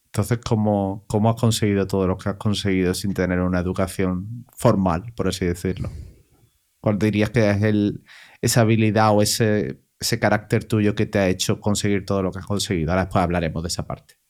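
Podcast host: man, fairly low voice, conversational, rephrases and asks tag questions. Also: fairly even intonation, brisk, a little mellow. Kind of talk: conversational interview.

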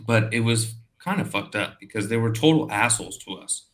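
A man from Brazil, a podcast guest, telling an animated, profane story in casual chat. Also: on the low side, brisk. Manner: animated, profane storyteller who acts out quotes.

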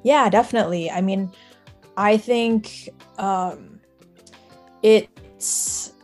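A woman's voice: medium pitch, very slow, a little mellow, soft background music. A young woman from Singapore and Shanghai speaking casually as an interview guest. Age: young adult. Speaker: podcast guest, music data analyst.

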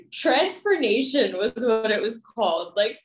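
A woman's voice: fairly high pitch, sing-song, slow pace. A young woman giving casual, chatty interview answers peppered with "like". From United States and Pakistan. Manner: informal, chatty, frequent "like". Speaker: podcast guest, nonprofit founder. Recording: roomy, echoey sound.

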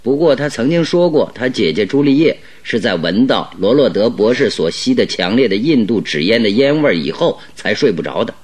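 A man playing a shrewd, calm detective in a Mandarin radio drama, speaking deliberately and with emphatic instruction. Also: medium pitch, close to an everyday voice. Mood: grave, deliberating. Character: shrewd, commanding male detective, calm and exacting.

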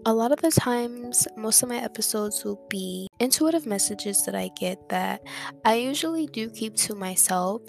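A young woman hosting her own podcast, talking in a soft, reflective way and repeating words for emphasis. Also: mid-range voice, gravelly. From Dominican family background. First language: English. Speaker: podcast host. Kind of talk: casual solo podcast monologue. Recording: music playing underneath.

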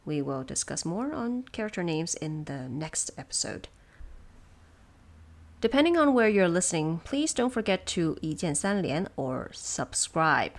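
A woman hosting production notes, explaining things in a measured, semi-scripted and lightly wry way. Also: on the low side. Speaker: host of production notes for an audio drama.

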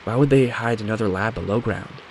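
A man's voice: fairly low pitch, brisk, pitched above the performer's own voice, lightly acted. A man voicing a determined young woman who explains things matter-of-factly in a scripted mystery story. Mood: intent. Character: determined young woman recounting her brothers' investigation. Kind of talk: scripted audio story dialogue. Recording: steady rain noise behind voice.

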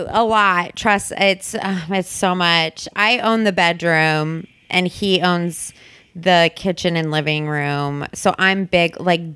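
A woman's voice: on the low side, smooth, dry recording. A woman with a nasal voice chatting casually on a podcast, often acting out quotes. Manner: animated, laughing, acting out quotes. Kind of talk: casual chat.

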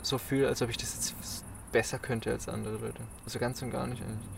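A man's voice: mid-range voice, flat delivery, fast, gravelly, background noise. A man in casual podcast chat, speaking hesitantly with frequent restarts. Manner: hesitant, many restarts and fillers. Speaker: podcast co-host.

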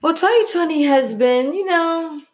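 A woman's voice: very high-pitched, normal speed, noisy background. A woman in her early twenties co-hosting a casual podcast, hesitant and searching for her words. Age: 20s. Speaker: podcast co-host. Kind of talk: casual chat.

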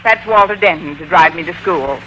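A woman playing a sardonic high school English teacher in an old radio comedy, with a dry, wisecracking, crisp delivery. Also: a little fast, lightly acted. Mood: flustered, placating. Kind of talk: 1940s-50s radio comedy acting and narration. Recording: noisy old-time radio recording.

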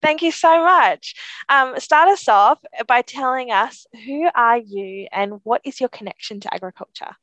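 A woman's voice: fairly high pitch, measured pace, a bit bright, no room sound, lo-fi sound. A woman hosting a podcast, reading an introduction and then encouraging her guest with affirming remarks. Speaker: podcast host. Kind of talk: podcast interview, reads intro then chats.

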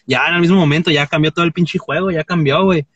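A man's voice: a little high, steady tone, fast, fairly bright tone, slightly rough, dull audio. A Mexican man in his twenties, a guest chatting casually in a joking, slangy, rambling way. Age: 20s. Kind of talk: casual chat.

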